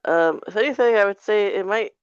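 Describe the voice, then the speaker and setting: A woman chatting casually on a podcast, a bit halting as she searches for her words. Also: mid-range voice, clear, resonant tone, no room sound, muffled recording. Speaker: podcast panelist. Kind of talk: casual chat.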